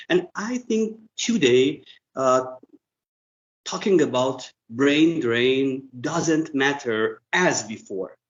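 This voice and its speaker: Man, interview guest, slow, thoughtful and halting, muffled lo-fi sound. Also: medium pitch, fairly bright tone. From Iran. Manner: thoughtful, halting with frequent fillers. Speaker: guest expert. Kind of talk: interview conversation in English.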